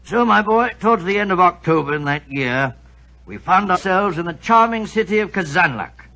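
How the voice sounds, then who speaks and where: A genial old doctor. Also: male voice, high-pitched, lively intonation, moderate pace, heavily acted, poor audio. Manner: warm, avuncular storyteller. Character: genial elderly male doctor narrating. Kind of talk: 1940s radio acting.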